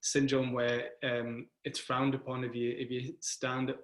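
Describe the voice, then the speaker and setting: A man, hosting a casual chat in a relaxed, hesitant way with many restarts. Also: mid-range voice, a little slow. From north of England. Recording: echoey room.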